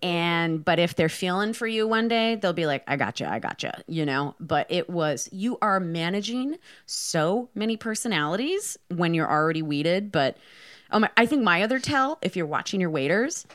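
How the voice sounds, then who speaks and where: A woman's voice: fairly low pitch, lively intonation, moderate pace, fairly bright tone. A woman co-hosting a casual podcast, blunt and sweary, telling anecdotes and acting out remembered dialogue. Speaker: podcast co-host.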